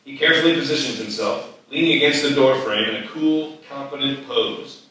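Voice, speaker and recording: A man narrates stage directions at a live script reading. His voice is bright and comes from away from the mic, in a very echoey hall with some ambient noise. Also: medium pitch, a little fast, recorded from a distance.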